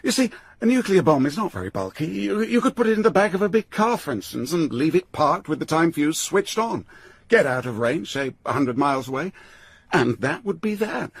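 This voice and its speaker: A man's voice: fairly high pitch, sing-song, a little fast, slightly rough, dry recording, exaggerated voice. A man playing an eager, apologetic weapons boffin in a radio drama, with an English accent and a fussy, hesitant, deferential way of explaining. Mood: keen, explanatory. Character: eager, apologetic male weapons boffin who loves technical detail.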